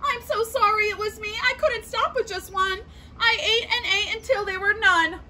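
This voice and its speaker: Woman reading as high-voiced sheepish storybook toad. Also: moderate pace, very noisy. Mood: sheepish, sorry. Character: grumpy toad, sheepish and apologetic cupcake thief.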